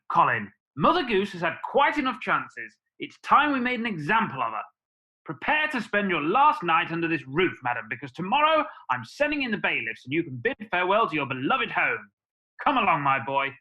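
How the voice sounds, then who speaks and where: A woman's voice: lowish voice, very animated, moderate pace, sharp tone, low-quality recording. A woman playing a mean, pompous male landlord squire in a radio pantomime, scornful and declamatory. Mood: stern, threatening. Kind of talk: radio pantomime villain acting.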